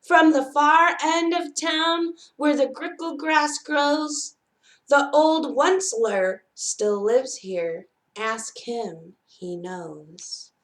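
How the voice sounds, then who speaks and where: A woman reads a children's storybook aloud as a storyteller. Her voice sits a little high and her pace is very unhurried. She is expressive, shaping each phrase for young listeners. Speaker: library storyteller reading aloud. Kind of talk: children's picture book read-aloud.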